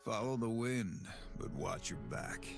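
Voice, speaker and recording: World-weary swordsman, gravelly, slow, grave, terse, fantasy card game. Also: mid-range voice, music in the background. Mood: sombre. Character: world-weary, guilt-haunted exiled swordsman, gruff and sombre.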